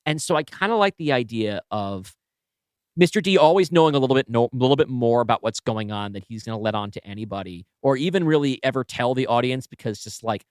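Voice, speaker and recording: A man co-hosting a podcast, brainstorming casually and thinking aloud in halting, self-correcting bursts. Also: medium pitch, clear, resonant tone, no room sound, clean studio sound. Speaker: podcast co-host and writer.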